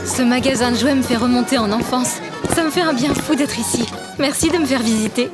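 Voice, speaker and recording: An actress playing a brainy, teasing young woman student in a French audio drama, playful and expressive in her manner. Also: a little high, brisk, natural voice. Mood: admiring. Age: young adult. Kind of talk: French audio fiction acting. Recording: music behind the voice. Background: music.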